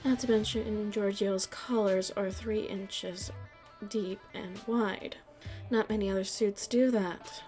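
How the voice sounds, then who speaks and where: A woman playing a shrewd, proud nightclub headliner in the mafia in an ASMR roleplay, speaking deliberately in a haughty, taunting manner. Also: medium pitch, fairly even intonation, a little mellow, soft background music, lightly acted. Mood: coolly analytical. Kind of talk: ASMR roleplay audio drama.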